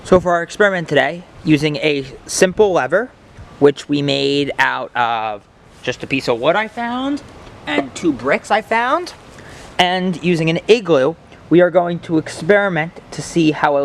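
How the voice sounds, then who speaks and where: A young man reading aloud and explaining simple science for children as a host. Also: a little high.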